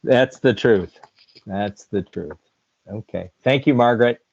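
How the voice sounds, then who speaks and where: Slow-talking man chairing a meeting. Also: lowish voice, creaky, slightly rough, no room sound. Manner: slow, relaxed, laughs easily. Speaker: board chair. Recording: dull, dry, distant audio.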